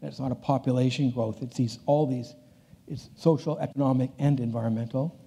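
A man's voice: medium pitch, steady tone, fairly quick, vocal fry, warm tone, husky. An older man giving a conference keynote on a large stage, talking in a loose conversational way that often restarts its phrases. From Canada. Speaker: keynote speaker.

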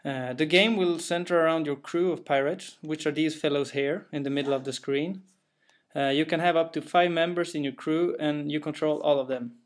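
A man's voice: a little high, steady tone, moderate pace. A young man from Sweden with a Swedish accent, a game developer talking through his game in careful, slightly hesitant English. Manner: careful, plain, a little hesitant with fillers. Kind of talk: narrated gameplay walkthrough.

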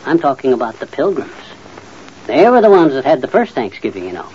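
An actor playing a blustery, folksy, know-it-all middle-aged husband in an old-time radio comedy. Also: male voice, mid-range voice, big pitch swings, brisk, darkish tone, a little hiss or hum, lightly acted. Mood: folksy, explaining. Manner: folksy, boastful, muttering and sputtering. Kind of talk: 1940s-50s radio comedy acting. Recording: muffled old radio recording.

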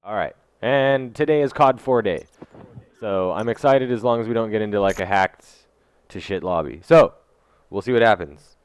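A man's voice: fairly low pitch, normal speed, clear, resonant tone, no echo. A young man giving casual, foul-mouthed live gameplay commentary as a video host, talking into a headset mic. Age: young adult. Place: small room indoors. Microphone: gaming headset mic. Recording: loud game gunfire behind voice.

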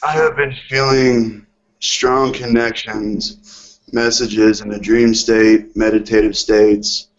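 An earnest man speaks slowly and reverently with a gravelly edge. His pitch stays low and flat. The sound is dull and lo-fi, as if heard over an online call. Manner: hesitant, earnest, drawn-out phrasing. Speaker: caller. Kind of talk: slow, reverent spiritual talk.

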